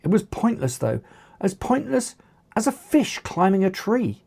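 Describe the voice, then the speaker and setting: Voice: mid-range voice, sing-song, a little slow, vocal fry, warm tone. A narrator reading an action story aloud in a dramatic storytelling manner. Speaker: author reading own book.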